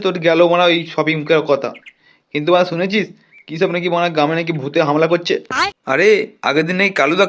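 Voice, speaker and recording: A man's voice: fairly high pitch, fairly bright tone, close to an everyday voice. A man playing a brash, foul-mouthed village gang leader in a comic cartoon, scolding and blustering colloquially.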